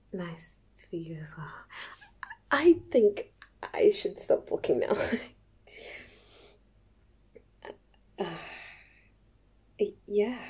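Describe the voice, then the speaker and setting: A woman playing a nervous, giddy young woman in an ASMR roleplay, stammering softly and often whispering in a halting, giggly way. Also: on the low side, full character voice. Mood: bashful. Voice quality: soft, breathy, often whispered. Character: nervous, giddy young woman before and after first kiss.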